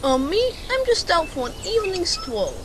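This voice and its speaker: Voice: very high voice, big pitch swings, normal speed. A young-sounding amateur actor playing a bumbling, pompous male private eye in a radio drama, theatrical and self-important. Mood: pompous questioning. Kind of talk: amateur radio drama detective narration and dialogue. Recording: hissy, noisy amateur home recording.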